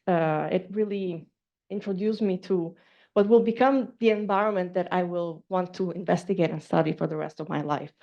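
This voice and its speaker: A woman's voice: on the low side, mellow. Woman giving a seminar talk, dull recording. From Costa Rica. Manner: earnest, with frequent fillers and restarts. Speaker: seminar speaker.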